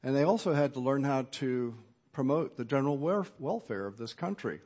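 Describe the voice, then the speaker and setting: A middle-aged man preaching an earnest sermon at a measured pace, with occasional fillers. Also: mid-range voice, lively intonation, darkish tone. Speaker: pastor.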